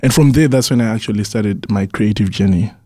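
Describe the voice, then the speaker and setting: A man's voice: fairly low pitch, fairly quick, darkish tone, dry recording, studio quality. A young man from Zimbabwe, a musician guest on a radio interview, answering earnestly and often restarting his words. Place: radio studio.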